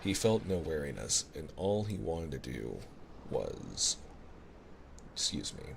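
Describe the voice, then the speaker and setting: A man reading a classic novel as an ASMR bedtime story, unhurried and soothing, with a gravelly voice, close on a binaural microphone. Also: sing-song. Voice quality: very deep, gravelly. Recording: clear background noise, ocean sounds. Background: ocean sounds.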